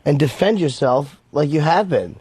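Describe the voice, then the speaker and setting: Male radio co-host, slightly high voice, loose profane banter. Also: lively intonation, normal speed, darkish tone, breathy edge, dry recording.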